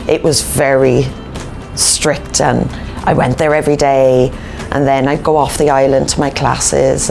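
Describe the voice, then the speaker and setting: A woman with an Irish accent tells stories in a relaxed interview, often voicing what other people said. Her pitch is on the low side with plenty of vocal fry, and music plays clearly behind her. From Ireland. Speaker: Irish dancer in a comedy circus show. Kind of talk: casual documentary interview storytelling.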